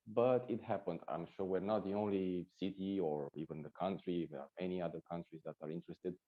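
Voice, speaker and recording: A man's voice: sits low, highs cut off. A man from Romania with a Romanian accent, a Reiki teacher answering interview questions, searching for words with fillers and restarts.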